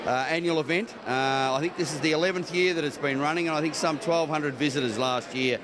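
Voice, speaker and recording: A man's voice: a little high, fairly quick, noisy background. An Australian man answering interview questions in a rambling way, padded with frequent conversational fillers. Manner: rambling, many 'you know' fillers. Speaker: civic interviewee.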